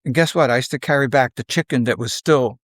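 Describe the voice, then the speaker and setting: An older man reminisces conversationally, in halting, unhurried phrases, often acting out bits of dialogue. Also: medium pitch, no echo, studio quality. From South Philadelphia, United States. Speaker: podcast guest.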